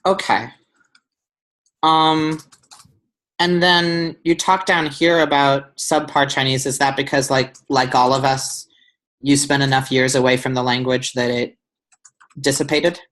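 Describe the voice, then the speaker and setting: A young man coaches a student through her essay on a video call. He talks at an ordinary middle pitch, pausing with ums and restarting sentences as he thinks. The sound comes through a webcam mic in a small room. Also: little pitch movement, fairly bright tone. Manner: thoughtful, many ums and restarts. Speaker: writing tutor. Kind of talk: one-on-one essay feedback over video call.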